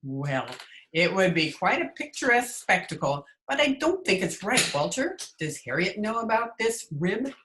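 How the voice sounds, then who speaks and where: A woman playing a quick-witted, sardonic schoolteacher in an old-time radio comedy, recorded remotely in a room. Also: medium pitch, sing-song, a bit bright. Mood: wry, indulgent. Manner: dry, sardonic. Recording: roomy echo, remote video-call recording.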